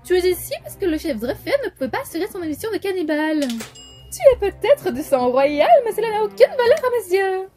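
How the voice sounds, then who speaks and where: A rich, cruel demon newswoman. Her voice sits very high and swoops animatedly as she sneers. Faint music plays behind. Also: big pitch swings, fairly quick, mellow. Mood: condescending. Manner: snide and condescending. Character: rich, cruel woman TV news anchor demon.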